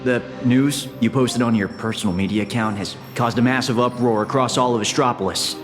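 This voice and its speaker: An actor playing a polite, formal corporate assistant and host in a video game, speaking with measured courtesy. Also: male voice, mid-range voice, lightly acted. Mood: courteous, composed. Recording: long hall reverb, music underneath.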